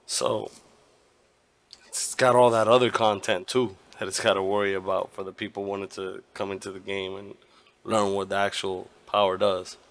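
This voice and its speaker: A hoarse man hosting a gaming channel, giving his opinion casually, rambling and restarting often and swearing now and then. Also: on the low side, level tone, moderate pace. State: hoarse, partly lost voice.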